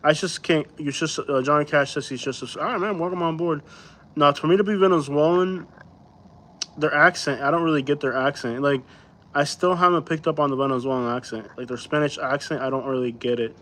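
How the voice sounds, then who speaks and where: Livestream host from Florida, male, slightly high voice, slangy and rambling, works through chat comments. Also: a little fast, a bit bright. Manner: rambling, reads viewer comments aloud and answers them; loose, slangy and easygoing. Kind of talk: casual late-night live chat.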